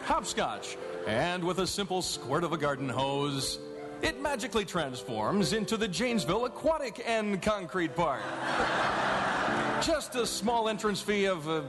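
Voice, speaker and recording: A male announcer delivers scripted radio advertising live from a stage. His voice is middle in pitch and very animated. Music plays behind him, and the hall adds long reverb. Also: unhurried. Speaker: announcer reading sponsor spots.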